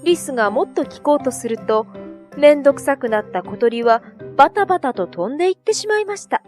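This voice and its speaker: Female storybook narrator: high voice, scripted children's tale delivery, music bed underneath. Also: animated, a little fast. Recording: soft music behind.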